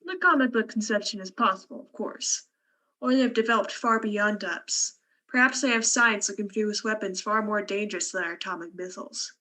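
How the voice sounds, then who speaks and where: Woman as bright-voiced, musing expedition scientist. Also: medium pitch, close to an everyday voice. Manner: measured, musing, wry. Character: fatalistic, cerebral male scientist on a Mars expedition. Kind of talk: student science fiction radio play.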